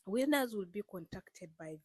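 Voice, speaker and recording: A woman's voice: medium pitch, lively intonation, moderate pace, darkish tone, husky, dry recording. A woman vlogger speaking straight to her viewers in a casual, earnest way, repeating herself and coaxing them with frequent fillers. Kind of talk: casual direct-to-camera explainer.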